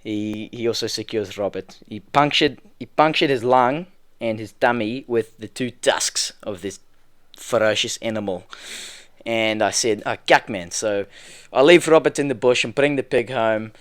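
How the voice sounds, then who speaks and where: A man voicing a comic fake prince, telling tales in a rambling deadpan way. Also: fairly low pitch, moderate pace, no echo, clean studio sound, close to an everyday voice. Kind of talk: comedy podcast bit.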